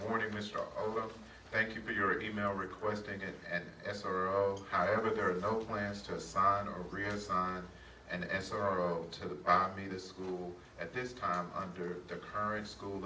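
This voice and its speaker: A man answering questions at a public meeting in formal testimony, halting with many filler pauses and polite "yes, sir" replies. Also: low-pitched, bright, forceful tone. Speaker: school district official answering questions. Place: public board meeting room. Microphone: shared table mic, often off-axis. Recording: echoey room, distant mic, noisy.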